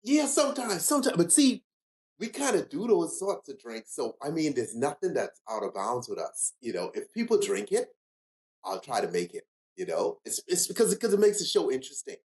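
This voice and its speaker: A man's voice: a little high, sing-song, moderate pace, a little mellow. A man from the Bahamas with a Bahamian accent, chatting as a guest bartender in a laid-back, rambling way. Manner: laid-back, rambling, lots of "you know". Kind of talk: casual interview chat.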